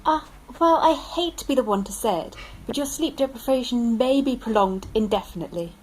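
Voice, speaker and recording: A woman's voice: a little high, animated, fairly quick, a little mellow, a little hiss or hum, natural voice, poor audio. A woman playing a breezy village police sergeant. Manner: breezy, folksy. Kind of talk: amateur audio drama acting.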